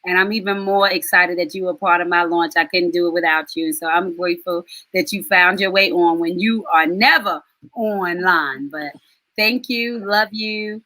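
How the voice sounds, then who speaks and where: A middle-aged woman hosting a live online stream, chatty and affectionate, with quick asides. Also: lowish voice, bright, forceful tone, dry recording, poor audio. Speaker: host and soul mentor.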